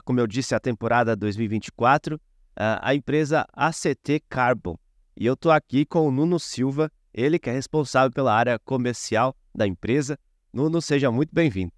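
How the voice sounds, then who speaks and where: A man's voice: mid-range voice, normal speed, no room sound, studio quality. A man hosting an interview, speaking in a hesitant way with many fillers. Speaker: podcast host.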